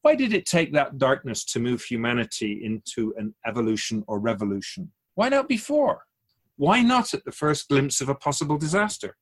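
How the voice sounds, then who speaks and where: Man playing a husky, animated otherworldly Keeper of souls. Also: mid-range voice. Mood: earnest, probing. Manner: measured philosophical explaining with rhetorical questions. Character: otherworldly Keeper of souls, wise, patient, gently challenging teacher. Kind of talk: radio drama dialogue.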